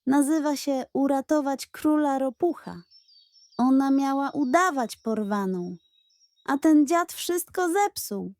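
Woman as a squeaky little child frog. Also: slow pace, a little mellow, no echo, clean studio sound. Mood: explaining eagerly. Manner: squeaky, crying out. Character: small excitable child frog playing games.

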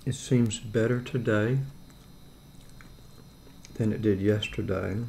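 A gravelly-voiced man in his seventies talks in an unhurried, wry way as he eats lunch at his dining table. His voice sits a little low, with faint background hiss in a home dining room. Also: steady tone, warm tone. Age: 70s. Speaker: vlogger. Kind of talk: casual talk while eating. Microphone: camera on table.

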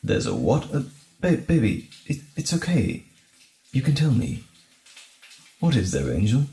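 Gentle, caring boyfriend played by a man, echoey. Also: mid-range voice, huge pitch swings. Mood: patient, puzzled. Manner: soothing, tender, lightly teasing, halting. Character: gentle, caring boyfriend comforting frightened partner. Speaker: audio roleplay performer. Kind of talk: intimate audio roleplay acting.

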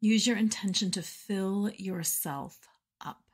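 A woman guides a meditation at a very unhurried pace, leaving space between short phrases. Her voice is soft and breathy with a husky grain, often settling into a gentle creak. The delivery is soothing and measured. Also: mid-range voice. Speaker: meditation guide and podcast host.

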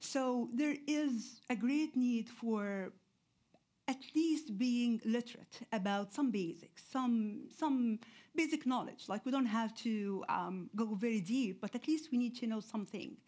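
A woman in her forties, originally from Egypt, giving a public lecture in a hall with a lapel mic, talking conversationally with many pauses and fillers. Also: on the high side, a little mellow. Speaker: guest lecturer. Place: lecture hall with seated audience.

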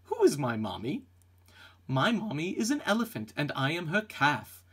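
A man reads a children's picture book aloud with lots of expression. His voice is fairly high and husky, with a very animated melody. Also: normal speed. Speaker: storybook reader.

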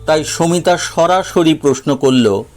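Male narrator, high pitch, slow pace, measured literary storytelling, light music behind. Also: a little mellow. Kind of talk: scripted dramatic story narration.

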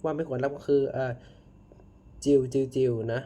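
A man giving casual reaction commentary, halting and often repeating his words. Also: medium pitch, steady tone. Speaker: reaction video host. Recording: loud music behind the voice.

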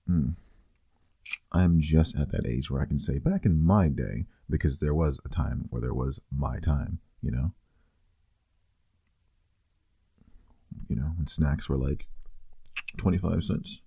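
A man's voice: rumbling low, normal speed, mellow, husky, dry recording, studio quality, exaggerated voice. A man playing a teasing, affectionate boyfriend in an intimate, casual ASMR roleplay, murmuring playfully.

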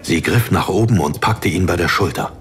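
A man narrating a fantasy audiobook in a dramatic, solemn, deliberately phrased style. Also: deep voice, a bit bright, slight background noise.

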